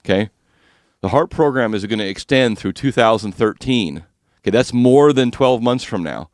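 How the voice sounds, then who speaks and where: A man hosting a finance talk radio show, speaking with a slightly rough, husky voice and explaining mortgage rules in a chatty, joking way. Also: on the low side, no room sound, clean studio sound.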